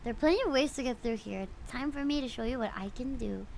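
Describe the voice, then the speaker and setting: A woman livestreamer chatting casually with her viewers in an expressive, playful way while she plays a story game. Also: fairly high pitch, fast talker, creaky, a little hiss or hum. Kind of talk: casual livestream chat mixed with reading game dialogue aloud.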